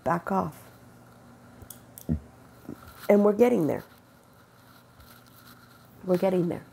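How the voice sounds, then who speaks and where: Middle-aged woman w a cold, super gravelly husky voice, slow earnest faith talk on earbud mic. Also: on the low side, lively intonation, warm tone. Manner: earnest, unhurried, quotes God in conversation. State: has a cold, sniffling and rough-voiced. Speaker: faith vlogger. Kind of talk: casual spiritual testimony. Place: bedroom with bright window, indoors. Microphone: wired earbud mic, webcam close-up.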